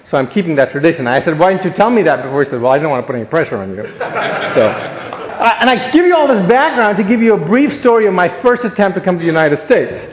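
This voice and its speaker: A man with a fairly high voice tells jokes at his own expense while speaking to an audience. The sound carries the long echo of a large hall. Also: sing-song, fast talker, a little hiss or hum. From born in Ghana, grew up in Africa, Asia and the Caribbean. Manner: self-deprecating, joking, storytelling. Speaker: guest speaker. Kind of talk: humorous speech to an audience. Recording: echoey hall.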